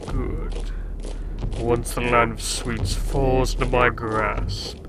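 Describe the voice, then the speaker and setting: Man as slow, gloating monster king. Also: mid-range voice, little pitch movement, background noise, lightly acted. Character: menacing, power-hungry male snow monster king. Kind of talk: amateur audio drama acting.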